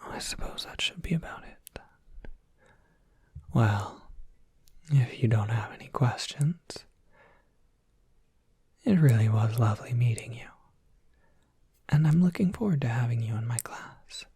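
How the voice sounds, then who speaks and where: Breathy whisper, performer playing polite monster academy professor, slow, scholarly, rambling, close dry ASMR roleplay. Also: mid-range voice, lively intonation, mellow, no echo, full character voice. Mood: courteous, welcoming.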